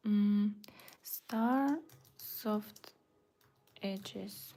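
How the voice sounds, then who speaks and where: A woman's voice: medium pitch, extremely slow, warm tone, a little hiss or hum. A woman from Bulgaria, designing a website on a livestream and murmuring her thoughts aloud, often close to a whisper. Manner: murmuring half to herself, often dropping to a whisper. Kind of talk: casual livestream, thinking aloud while working.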